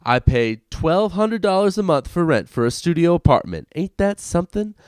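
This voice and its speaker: Man putting on a gushing, hokey Southern rube, swooping pitch. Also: sing-song, normal speed, darkish tone, clean tone, no echo, clean studio sound. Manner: hokey, exaggerated.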